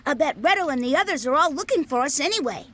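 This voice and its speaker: A cheerful, eager young woman with a very high, lively voice that rises and falls a lot. She sounds upbeat and quick. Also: a little hiss or hum. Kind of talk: game cutscene voice acting.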